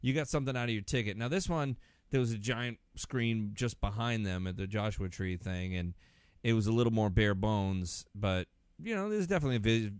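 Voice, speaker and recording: A man talking casually and informally, as in a relaxed podcast conversation between friends. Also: lowish voice, fairly quick, few pauses, smooth, no echo. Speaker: podcast speaker. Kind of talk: casual conversation about concerts.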